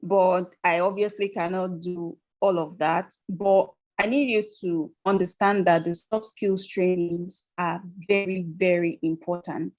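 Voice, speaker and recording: A woman giving an online soft skills training over a weak video call. She talks earnestly and apologizes. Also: lowish voice, fairly even intonation, a little slow, few pauses, no echo, dull audio. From Nigeria. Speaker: guest trainer. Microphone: video call on a weak connection.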